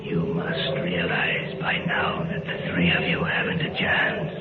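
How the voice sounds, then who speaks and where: An actor playing a menacing shadow being from the dark, taunting in slow half-whispers and chants in a 1950s radio drama. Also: male voice, low voice, completely flat delivery. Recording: echoey, tinny old radio recording with loud music.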